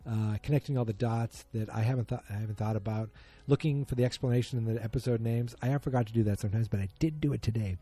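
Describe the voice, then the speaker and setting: A man's voice: mid-range voice, quick pace, few pauses, mellow. A man hosting a solo podcast, chatting casually in a rambling, self-deprecating, joking way. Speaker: podcast host.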